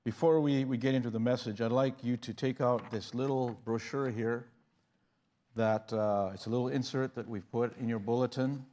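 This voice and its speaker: A man's voice: mid-range voice, steady tone, moderate pace, mellow. A man preaching a sermon in a conversational style, often restarting phrases and rising in bursts of emphasis. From Uganda. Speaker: guest preacher.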